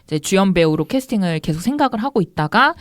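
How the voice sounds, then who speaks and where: Lively woman hosting a film chat. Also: mid-range voice, quick pace, no room sound. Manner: laughing, lively storytelling. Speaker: podcast host. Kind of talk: casual film review chat.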